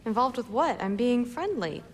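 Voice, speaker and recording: An actress playing a headstrong teenage daughter of the gods who talks in a defiant, eye-rolling way. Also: female voice, on the high side, big pitch swings, normal speed, slight background noise.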